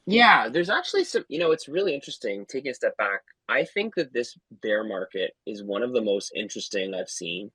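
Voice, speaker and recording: A company founder, a man, explaining his product eagerly on a casual call, talking in quick bursts. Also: medium pitch, lively intonation, pushed and bright, slightly rough, no room sound, rough recording. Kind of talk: casual chat.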